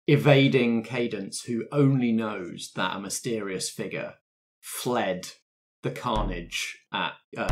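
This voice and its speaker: A man with a British accent, casually narrating and chatting as game master and host of a role-playing podcast. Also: lowish voice.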